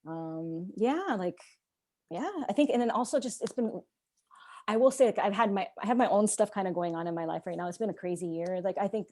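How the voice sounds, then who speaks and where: A young woman whose first language is Czech, chatting as a podcast guest in a rambling, animated way with frequent laughter. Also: mid-range voice, a little fast. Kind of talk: casual interview chat.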